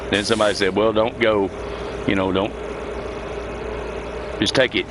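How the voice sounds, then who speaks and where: Man, deep pitch, folksy casual game streaming chat, engine rumble in the background. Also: little pitch movement, moderate pace. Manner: folksy, rambling storytelling. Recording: loud vehicle engine noise behind voice. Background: idling truck engine, game vehicle sounds.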